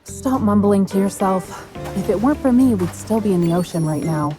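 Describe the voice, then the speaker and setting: A young woman voice-acting a capable, skeptical bodyguard heroine in a video game cutscene, practical and quick to retort. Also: medium pitch, lively intonation, close to an everyday voice. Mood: brisk, questioning. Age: young adult. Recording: music behind the voice.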